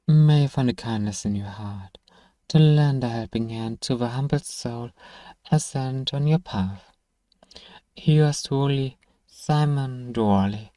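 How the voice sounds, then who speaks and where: Male voice for fantasy ASMR roleplay, gruff but kind apothecary, mid pitch, warm and relaxed, dry close recording. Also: no echo. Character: gruff but soft-hearted apothecary, reading a letter aloud, exasperated. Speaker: audio roleplay performer. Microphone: close, dry microphone.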